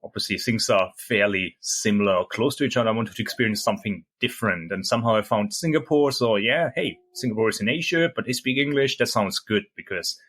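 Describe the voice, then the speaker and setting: A man's voice: fairly low pitch, quick pace, few pauses, fairly bright tone. A middle-aged man from Germany, a German native speaker, talking as an interview guest in a hesitant way with many fillers and restarts. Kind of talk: casual interview chat.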